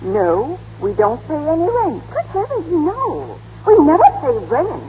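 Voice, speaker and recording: High, swooping, dotty spinster lady. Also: wildly swooping pitch, unhurried, exaggerated voice. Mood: earnest. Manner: quaint, emphatic, repeating herself. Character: dotty, emphatic small-town spinster sister. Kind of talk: 1930s radio comedy acting. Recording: muffled old radio broadcast, faint hum.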